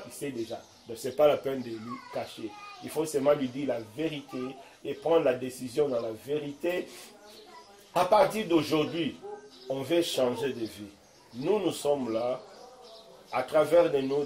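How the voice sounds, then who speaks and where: A man preaching informally in a home, emphatic and swinging from loud declarations to soft asides. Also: medium pitch, moderate pace. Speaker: visiting preacher. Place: room in a private home. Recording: roomy echo.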